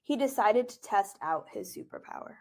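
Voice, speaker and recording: A woman reading a children's picture book aloud as author and teacher, gentle and expressive, with informal asides. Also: medium pitch, moderate pace.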